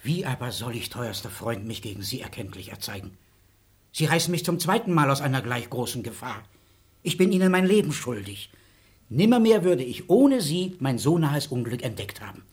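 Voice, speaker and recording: An actor playing a grateful, wealthy baron and father, effusive and formal, with a husky voice. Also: mid-range voice, very animated, normal speed, darkish tone. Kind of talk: radio play acting.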